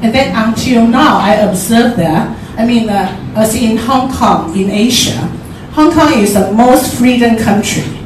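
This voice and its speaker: Higher-voiced Taiwanese woman, echoey and halting. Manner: halting, searching for words. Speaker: forum speaker. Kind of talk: informal public talk. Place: large echoey indoor room at a forum. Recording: very echoey room, distant mic, clear noise.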